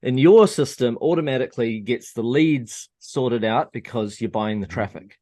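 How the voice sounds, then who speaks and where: A man's voice: medium pitch, animated, normal speed, a little mellow. A man hosting an interview who explains his points methodically, listing them and checking in with the listener. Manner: methodical, lists points and checks in with "okay?" and "right?". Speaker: interview host.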